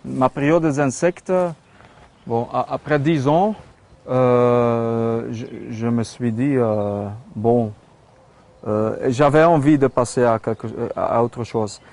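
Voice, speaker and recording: A man with a Flemish accent, his first language Dutch, speaks French at an unhurried, halting pace, full of fillers and restarts. His voice sits at an ordinary middle pitch. He speaks casually, as if showing someone around his work outdoors in a rural spot. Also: steady tone, darkish tone. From Belgium. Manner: hesitant, many fillers and restarts. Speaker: metal sculptor. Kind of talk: casual studio tour.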